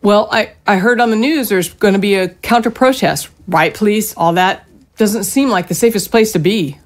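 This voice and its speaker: A woman playing a fussing, mildly exasperated middle-aged mother in a naturalistic audio drama, gently nagging. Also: mid-range voice, moderate pace, natural voice. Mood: concerned.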